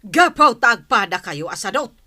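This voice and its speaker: Naunget nga ina, nangato ti timekna nga akting. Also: female voice, fairly high pitch, very animated, normal speed, sharp tone, no echo, studio quality, heavily acted. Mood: scolding. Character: stern, nagging middle-aged mother. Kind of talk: Ilocano audio drama acting.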